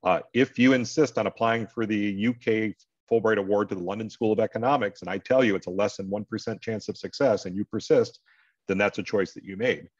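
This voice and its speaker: Middle-aged American man, deep low voice, dry dull video-call sound, conversational advisor explaining to an audience. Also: brisk, no room sound. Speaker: scholarship advisor hosting an online panel. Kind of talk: informal advising talk to an online audience. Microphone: video call microphone.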